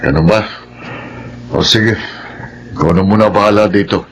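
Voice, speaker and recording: A deep-toned, commanding boss of a funeral parlour acted by a man in a Filipino radio drama. He speaks with a Filipino accent and gives orders and questions firmly, over faint background noise. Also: normal speed, close to an everyday voice, rough recording. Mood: stern.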